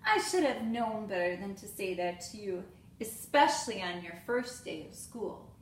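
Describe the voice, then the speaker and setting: Woman playing storybook schoolteacher: kindly, patient yet firm, lively pitch, echoey room. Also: moderate pace, distant mic, close to an everyday voice. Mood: amused. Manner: instructing, patient but firm. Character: kindly, good-humoured female primary school teacher in a storybook. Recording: echoey room with faint noise.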